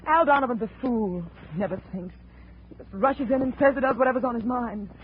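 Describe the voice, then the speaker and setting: A woman's voice: fairly high pitch, fairly quick, natural voice. A woman acting a tense, evasive femme fatale wife in a 1950s radio drama, speaking dramatically with hesitations. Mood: agitated pleading. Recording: dull, noisy old radio recording.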